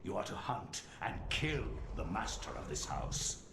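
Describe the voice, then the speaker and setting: An actor voicing a menacing ancient demonic servant who gives commands and threats. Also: male voice, lowish voice, big pitch swings, a little slow. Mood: commanding. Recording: echoey with loud ambient noise.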